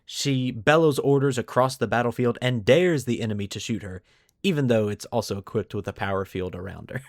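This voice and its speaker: A man's voice: mid-range voice, a little fast, no room sound, studio quality. A man hosting a podcast, mixing scripted lore narration with casual commentary and putting dramatic emphasis on key words. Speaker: podcast host.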